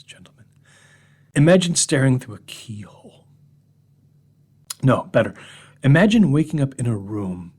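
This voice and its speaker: A man reading a horror story in the voice of an unhinged drunk man confessing to strangers, husky and often whispering, veering from hushed murmurs to sharp mocking rises. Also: medium pitch. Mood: confiding. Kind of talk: first-person horror monologue reading.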